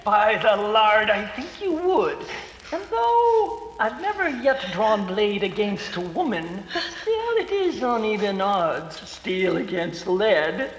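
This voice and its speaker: A sneering old-time villain in a 1930s style radio play, swinging widely in pitch as he threatens and mocks. His archaic speech is slow and rough, and it rings in a noisy, cavernous echo. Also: big pitch swings, exaggerated voice. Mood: angry, threatening. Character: menacing male thief of long ago, sneering and brutal. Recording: echoey long reverb, clicks and noise.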